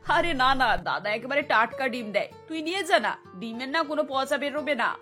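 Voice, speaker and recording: A middle-aged cartoon aunt, played by a woman, caring and breezily persuasive. The voice sits a little high, over soft background music. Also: lively intonation, a little fast. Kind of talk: cartoon voice acting.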